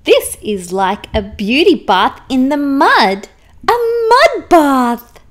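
A woman's voice: high-pitched, big pitch swings, slow pace, full character voice. A woman voicing a vain, fussy, prim female unicorn with dramatic delivery for a children's picture book. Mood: delighted.

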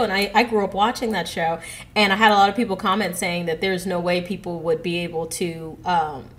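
A woman in her thirties hosting a reaction video, chatting casually to viewers with a stuffy, congested nose, on an earbud mic in her living room. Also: on the low side. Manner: chatty, giggly, addresses viewers directly. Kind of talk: casual chat to camera. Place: living room at home, indoors. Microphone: earbud headset mic.

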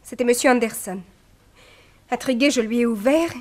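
A woman's voice: fairly high pitch, moderate pace, a little mellow, natural voice. A woman playing a distraught young heiress. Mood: grieving. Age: young adult. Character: young woman whose father has been killed.

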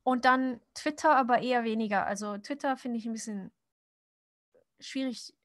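A woman's voice: a little high, moderate pace, no room sound, studio quality. A woman in her thirties, born in Japan, answering viewer questions in a casual chat while she draws, talking with many fillers and restarts. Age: 30s. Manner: chatty, many fillers and restarts, frequent laughs. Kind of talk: casual livestream Q&A while drawing.

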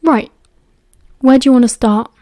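A woman voicing a guarded, sullen seventeen-year-old schoolboy in a story, curt, cool and deadpan. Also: high voice, moderate pace, dry recording, studio quality, close to an everyday voice. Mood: offhand.

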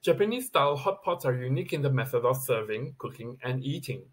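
A man's voice: medium pitch, animated, fast, husky. A man reading a scripted countdown list as a video presenter, recorded on a webcam in a plain indoor room.

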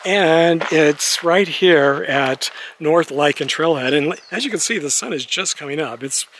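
A man narrating a walking tour outdoors in casual, chatty commentary, laughing easily between remarks. His voice sits a little higher than most men's. A faint outdoor hum runs behind him. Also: measured pace, a bit bright. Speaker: vlogger walking-tour host. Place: outdoors on a neighborhood street at dawn, walking.

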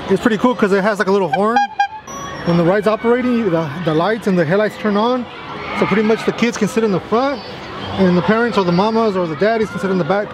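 A man's voice: high-pitched, quick pace. A man in his thirties chatting casually to viewers while walking through an outdoor theme park, filming himself at arm's length. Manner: chatty, addresses viewers as guys. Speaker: vlogger. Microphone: handheld camera at arm's length. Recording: loud crowd and outdoor noise.

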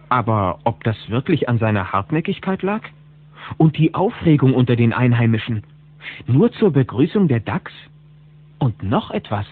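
A man's voice: medium pitch, husky. A man narrating a children's cartoon audio play as a wry storyteller, on lo-fi cassette audio.

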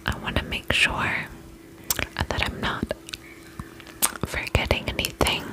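A woman doing an ASMR spa roleplay as an attendant, speaking in a soft breathy whisper close to the mic, gentle, soothing and affectionate. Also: slow, poor audio. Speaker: ASMR performer playing a vampire spa attendant. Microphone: close ASMR microphone.

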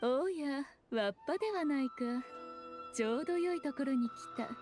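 A woman's voice: high-pitched, animated, normal speed, darkish tone, soft background music. A woman voicing an elegant, teasing fox shrine maiden with aloof, archaic, lordly speech in a Japanese anime game. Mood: playful.

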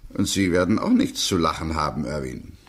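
A man playing a pessimistic, grumbling chief clerk of a law office in a radio play, husky, emphatic and disapproving. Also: extremely low pitch, animated, normal speed, full character voice. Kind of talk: German radio drama acting.

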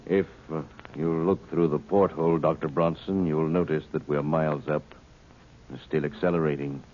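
An actor in a 1950s radio drama playing a folksy rancher who is secretly a cold alien pilot, with a drawling country way of talking. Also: male voice, rumbling low, steady tone. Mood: cold, calm. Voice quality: drawling country speech, turning cool and measured. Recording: tinny old radio broadcast with hiss.

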